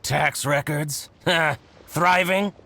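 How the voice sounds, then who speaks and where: A man playing an excitable, scheming male shopkeeper with a grudge in a video game, theatrical and blustering. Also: fairly high pitch, drawn-out words, vocal fry, a little hiss or hum, exaggerated voice. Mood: resentful, scornful. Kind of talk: scripted video game dialogue acting.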